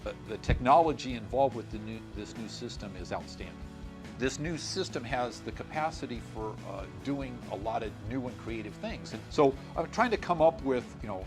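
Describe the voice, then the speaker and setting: A middle-aged man speaks as a school administrator in an informal interview. His delivery is halting as he searches for words, at a medium pitch. Guitar music plays clearly underneath. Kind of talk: informal on-camera interview answers.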